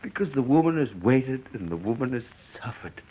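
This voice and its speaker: An actor playing a desperate, blustering older father and fired salesman in a radio drama, gravelly and husky, hectoring, evasive and quick to interrupt. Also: mid-range voice, big pitch swings, warm tone, poor audio. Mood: defiant, needy. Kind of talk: stage-style radio drama acting.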